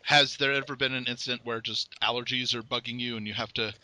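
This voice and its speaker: A man's voice: medium pitch, fairly even intonation, fast talker, bright, forceful tone, a little hiss or hum, rough recording. A male podcast host asking curious questions and giving many short acknowledgements. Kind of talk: interview questions.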